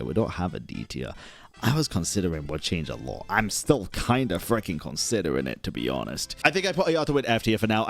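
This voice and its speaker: A young man giving casual, opinionated gaming commentary in a blunt, slangy manner. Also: fairly low pitch. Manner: blunt, slangy, frequently swearing. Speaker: gaming YouTuber. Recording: music playing faintly behind.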